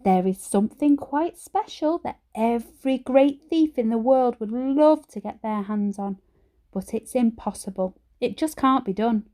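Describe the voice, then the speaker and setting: Lady doing a stern old granny from a kids storybook, fond but scolding, voice lifts up high. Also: lively intonation, measured pace, warm tone. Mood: wistful, confiding.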